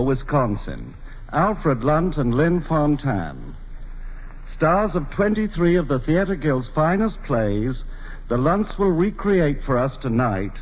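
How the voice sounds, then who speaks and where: A man's voice: fairly high pitch, muffled recording. A man presenting a theater broadcast, giving a formal scripted introduction in 1940s radio style.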